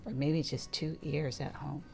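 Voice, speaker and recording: A woman's voice: deep voice, steady tone, unhurried, creaky, warm tone, soft background music. A woman reading a picture book aloud to young children in a playful, interactive storytelling manner. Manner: playful, asks listeners questions and points things out.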